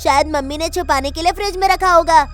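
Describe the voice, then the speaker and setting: A woman's voice: very high-pitched, fast, faint music behind. A woman voicing a bewildered young cartoon boy who protests and explains himself in a whiny way. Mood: puzzled, protesting. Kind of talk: cartoon comedy voice acting.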